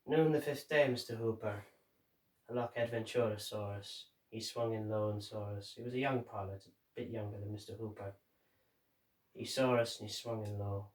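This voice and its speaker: A man playing a grim, haunted sailor who survived a sinking, reciting a dramatic monologue in halting, measured phrases with deliberate pauses. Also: fairly low pitch, fairly even intonation, lightly acted. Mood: haunted, weary. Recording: roomy echo, distant microphone.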